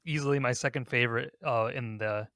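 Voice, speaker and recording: A man hosts a casual podcast discussion in a mid-pitched voice. The sound is dry and close, with very little room to it. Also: fairly quick, fairly bright tone, no room sound. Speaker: podcast host.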